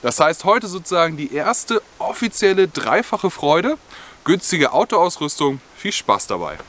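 Bright-voiced young man reviewing outdoor gear. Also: a little high, very animated, normal speed. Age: young adult. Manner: friendly, explanatory, with scripted-sounding product details. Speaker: outdoor gear channel host. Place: outdoors in a forest.

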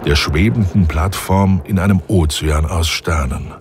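A man narrating a science fiction audio drama in a measured, scripted way. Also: sits low, background noise.

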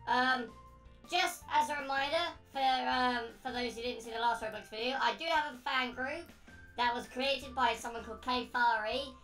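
A woman hosting a gaming video with excitable commentary and frequent exclamations. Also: on the high side, normal speed, soft background music. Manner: excitable, prone to shrieking exclamations. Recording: roomy echo, distant mic.

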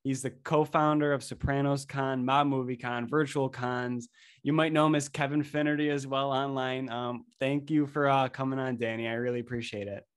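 A man's voice: mid-range voice, level tone, smooth. Upbeat young man hosting a podcast. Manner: upbeat, fast chatty delivery. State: a little sick. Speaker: podcast host. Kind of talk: casual interview chat. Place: small indoor room, plain wall. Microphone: desk microphone in frame.

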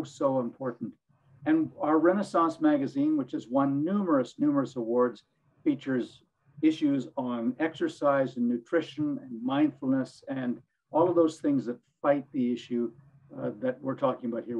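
An older man with a hoarse voice, speaking earnestly as a panelist on a webinar from his home study over a video call. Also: mid-range voice, steady tone, moderate pace, darkish tone, lo-fi sound. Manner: earnest, rambling with restarts. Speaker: executive director, panelist.

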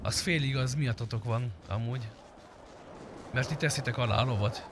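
Man, medium pitch, casual gameplay streamer, slangy roleplay chatter, noisy background. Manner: slangy, swearing, addressing chat and friends. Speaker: game streamer.

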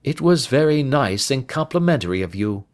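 A man voicing a sharp, confident Victorian detective in an audiobook, speaking in an assured, articulate and emphatic way. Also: medium pitch, lively intonation, moderate pace, pitched above the performer's own voice, lightly acted. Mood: breezy, assured.

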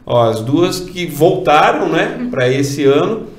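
A man's voice: a little high, very animated, slow pace. A man in his forties with a Brazilian accent, chatty and upbeat, interviewing guests on a desk mic in a small studio. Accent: Brazilian Portuguese. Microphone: desk mic on stand. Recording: roomy office echo.

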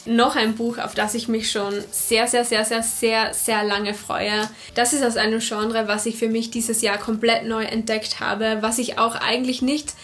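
German-speaking woman, narrow-pitched book chat. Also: flat delivery, unhurried, few pauses, fairly bright tone. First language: not English. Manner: enthusiastic, repeats words for emphasis. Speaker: book vlogger. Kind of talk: casual book talk to camera. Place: room with full bookshelves, indoors.

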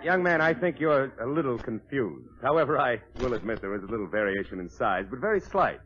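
A man's voice: mid-range voice, animated, fairly quick, close to an everyday voice. A man playing a formal, dryly sarcastic department store clerk in 1940s radio comedy. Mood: exasperated. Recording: muffled, very noisy old radio recording.

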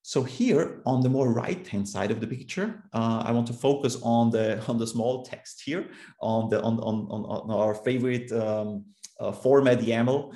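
A man's voice: medium pitch, a little slow, mellow, echoey room. A man in his thirties from Austria with an Austrian German accent, giving a technical conference talk over a video call, explaining steadily with fillers and restarts. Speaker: conference speaker.

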